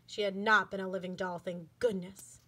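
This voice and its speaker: A woman reading a children's book aloud with clear, expressive storytelling. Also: medium pitch, normal speed. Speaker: elementary school educator.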